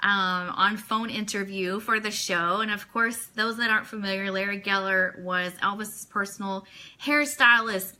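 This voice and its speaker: A woman's voice: mid-range voice, sharp tone. A woman hosting a casual fan radio show, chatting enthusiastically and ad-libbing in a gushing way.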